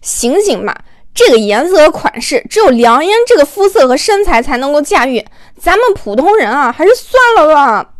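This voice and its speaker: A woman's voice: high voice, big pitch swings, moderate pace, no echo, clean studio sound. A woman voicing exasperated, scoffing internet commenters in an audiobook, snappy and dismissive.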